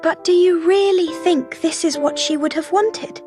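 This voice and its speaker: An actress playing a kindly young lady detective in an animated mystery game, gently questioning and persuading over music. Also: very high-pitched, moderate pace. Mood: gently probing. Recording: clear music behind the voice.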